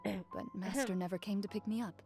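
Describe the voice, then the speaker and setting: A woman playing a composed, dutiful young duelist in a video game, speaking in a measured, formal, matter-of-fact way. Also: mid-range voice, fairly even intonation, dark tone, faint music behind, natural voice. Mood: serious, reflective. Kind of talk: scripted video game dialogue.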